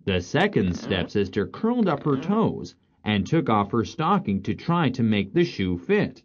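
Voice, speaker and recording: Very low-voiced, slow woman storyteller. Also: sing-song, darkish tone. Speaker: storyteller, narrator. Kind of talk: scripted children's story narration. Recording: dull lo-fi audio, some noise.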